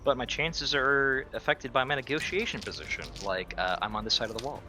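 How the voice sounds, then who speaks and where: A man's voice: medium pitch, brisk, sharp tone. A man playing a tabletop roleplaying game, chatting informally and jokingly, in and out of character. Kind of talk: casual in-character game chat. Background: background music.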